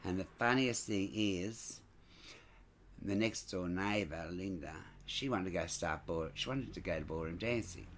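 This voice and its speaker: A man who grew up in Sydney, an entertainer telling stories in an interview in a lively, expressive way, with frequent asides. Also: deep voice, unhurried, slight background noise. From Scotland, raised in Sydney. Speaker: stage entertainer and show producer. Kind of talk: chatty interview storytelling.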